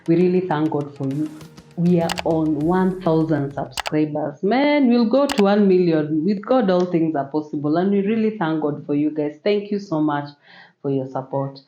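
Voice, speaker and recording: A woman hosting a faith-based interview, constantly murmuring soft affirmations as her guest talks. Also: deep voice, moderate pace, faint music behind. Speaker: host. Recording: echoey room, dull lo-fi sound.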